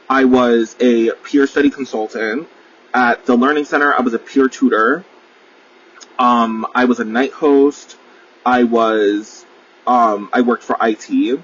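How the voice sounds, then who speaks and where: A young man rambles through a solo podcast, piling up fillers and casual swearing as he pokes fun at himself. His voice sits at an everyday middle pitch. The recording sounds a little muffled, with a faint hiss underneath. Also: a bit bright. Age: 20s. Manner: rambling, full of fillers, swears freely, self-deprecating. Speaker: podcast host.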